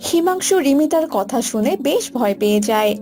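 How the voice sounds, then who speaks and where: A woman's voice: sits high, expressive, moderate pace, darkish tone. A woman reading scripted narration for a cartoon story. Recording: music bed behind voice.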